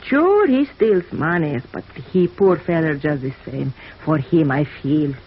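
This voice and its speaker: An old radio drama recording with faint noise features an immigrant landlady with an Eastern European accent. Her pitch swings widely as she speaks in hesitant, broken English. She comes across as wary, plaintive and soft-hearted. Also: expressive, a little slow, highs cut off. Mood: sad, sympathetic. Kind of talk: 1950s radio drama acting.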